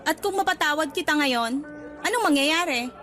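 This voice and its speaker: A woman's voice: high-pitched, lively intonation, moderate pace, bright, forceful tone. A woman acting a hurt, defiant young woman still in love, confrontational and emotional, in a radio romance drama. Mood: guarded, bitter. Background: background music.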